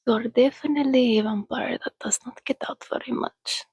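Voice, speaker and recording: A woman voicing an ancient female vampire in a soft-spoken, breathy ASMR roleplay, coaxing and gently teasing as she hosts a listener. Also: fairly high pitch, steady tone, no room sound. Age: over a thousand years old, as played. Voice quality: breathy, whispery. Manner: slow, coaxing, conversational with pauses.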